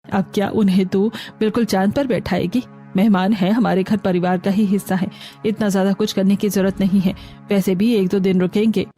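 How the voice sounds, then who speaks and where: Middle-aged mother-in-law played by a woman, practical, a little bossy, mellow tone, family cartoon, soft music bed. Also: medium pitch, steady tone, brisk, close to an everyday voice. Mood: grumbling. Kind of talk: Hindi cartoon family story dialogue.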